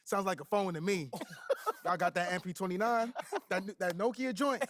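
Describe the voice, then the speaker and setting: A man's voice: high voice, normal speed, fairly bright tone, slight background noise. An actor playing a cocky young basketball rival in a video game, mocking and boastful, laughing as he talks.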